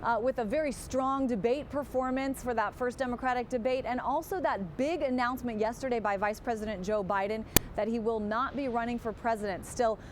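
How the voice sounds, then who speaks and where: A woman files a live TV news report in field-reporter style. Her voice is on the high side, with clear traffic rumble behind her.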